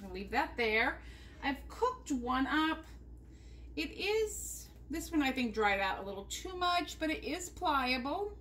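High-voiced chatty woman in a kitchen. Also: big pitch swings, measured pace, fairly bright tone, a little hiss or hum. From United States. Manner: chatty, talks viewers through each step. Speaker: vlogger cooking at home. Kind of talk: casual cooking demonstration. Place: home kitchen. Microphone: camera mounted above the counter.

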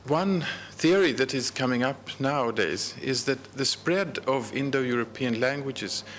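A man's voice: mid-range voice, measured pace, fairly bright tone, slight background noise. A middle-aged man speaking on a discussion panel in a large stone hall, hesitant, with fillers. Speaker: panel guest.

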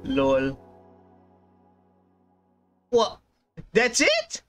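A man's voice: high-pitched, very slow. A man, perhaps in his thirties, giving casual, exclamatory reaction commentary as a gaming video host. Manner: excitable, exclamatory. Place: small indoor room. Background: game trailer music.

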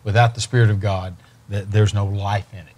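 An older man with a low-pitched voice speaks as a guest at a studio desk. He talks earnestly. He repeats his main phrases for weight. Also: little pitch movement, brisk, a little mellow. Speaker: ministry leader guest. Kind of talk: earnest interview talk. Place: TV studio set.

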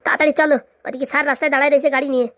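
Performer as quarrelsome cartoon villager, high-pitched, extremely fast, scolding, combative, dry close sound. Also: flat delivery, a bit bright, dry recording. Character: bossy, scolding, hot-tempered cartoon villagers, quick to quarrel. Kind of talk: comic cartoon dialogue.

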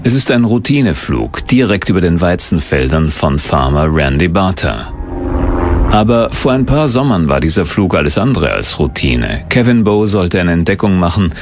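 A man reading scripted documentary narration in a measured, suspenseful storytelling manner. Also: sits low, very animated, slight background noise.

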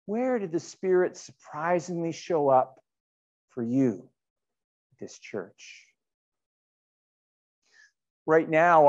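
Middle-aged man, church pastor. Unhurried, earnest sermon delivery, slightly dull recording. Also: fairly high pitch, a little mellow. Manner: earnest, deliberate, rhetorical repetition and questions. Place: indoors in a church sanctuary.

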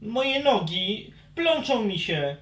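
A woman's voice: a little high, sing-song, slow, fairly bright tone, roomy sound, heavily acted. A woman playing a young, playful, hot-tempered male dragon in a children's audio story, with theatrical exclamations. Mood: fed up.

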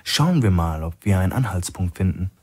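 A man's voice: sits low, animated, brisk, darkish tone, slightly rough. A man playing an alert, level-headed male operative in a thriller audiobook, terse and matter-of-fact. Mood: alert, serious.

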